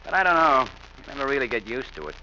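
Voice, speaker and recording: A kindly, talkative morgue attendant with a lively, forceful voice and a casual, folksy way. He sounds like vintage radio drama, slightly muffled with faint noise. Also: medium pitch, fast. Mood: chatty, matter-of-fact. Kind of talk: 1940s radio drama acting.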